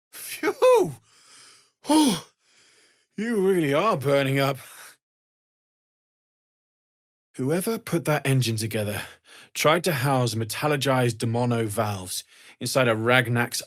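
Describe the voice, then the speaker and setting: A man's voice: medium pitch, expressive, normal speed, fairly bright tone, no room sound, studio quality, natural voice. An actor playing an earnest, kindly young male blacksmith refugee in a fantasy video game, speaking sincerely and politely with plenty of expression. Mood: concerned. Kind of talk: scripted fantasy game dialogue.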